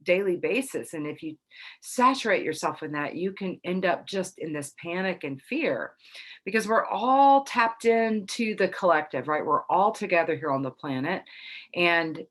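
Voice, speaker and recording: A middle-aged woman hosting a casual astrology talk to camera, a little congested after being ill, chatty and relaxed with soft laughs. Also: fairly low pitch, moderate pace. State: slightly congested after illness. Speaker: psychic astrology host.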